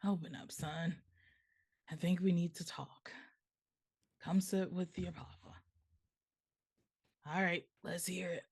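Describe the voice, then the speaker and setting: A man's voice: high voice, level tone, slow, pauses often, slightly rough, exaggerated voice. A man playing a kindly, concerned elderly elf father in a live table read of a comedy film.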